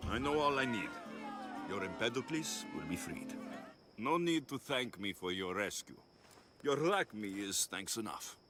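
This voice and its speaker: A man's voice: fairly low pitch, sing-song, measured pace, bright, forceful tone, faint music behind, full character voice. An actor playing a confident male ancient Greek mercenary hero in a video game, with a dry, sardonic manner. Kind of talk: video game cutscene dialogue.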